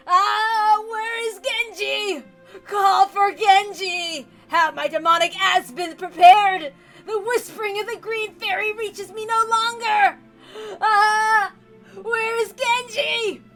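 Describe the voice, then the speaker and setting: A woman's voice: very high voice, slow pace, fairly bright tone, faint music behind, pitched above the performer's own voice, full character voice. A woman voicing an aged, raging patriarch who bellows bombastically and draws out words in a theatrical reading. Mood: desperate, demanding.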